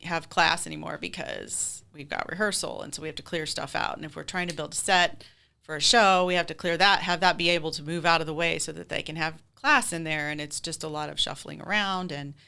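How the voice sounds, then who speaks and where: A woman's voice: sits low, fairly even intonation, fairly quick, creaky, sharp tone, smooth. A woman speaking conversationally as a theater group president being interviewed, explaining things in chatty, run-on detail.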